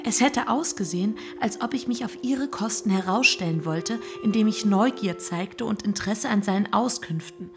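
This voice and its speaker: An actress playing a shy, dutiful young gentlewoman in a radio drama, modest and a little hesitant. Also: medium pitch, normal speed, few pauses, over music, close to an everyday voice. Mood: shyly defensive. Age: young adult.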